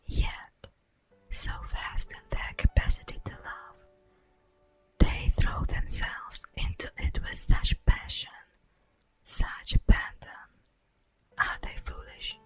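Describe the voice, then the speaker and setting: A woman playing an ancient, wistful immortal elf in a slow, breathy whispered ASMR roleplay monologue. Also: lightly acted. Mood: earnest, impassioned. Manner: slow, theatrical, lingering pauses. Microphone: close microphone. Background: faint music.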